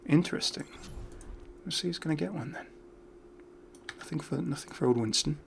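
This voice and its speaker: A man's voice: on the high side, moderate pace, creaky, slight background noise. A British man hosting a gaming video with chatty, casual, off-the-cuff commentary. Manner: chatty, easily amused.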